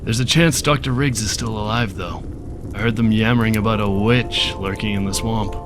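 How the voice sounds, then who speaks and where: A man's voice: lowish voice, normal speed, natural voice. Wary, folksy undercover scientist played by a man, music behind. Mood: wry. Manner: folksy, conspiratorial, wry. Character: undercover scientist turned fisherman, wary, resigned, sardonic. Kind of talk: video game character dialogue.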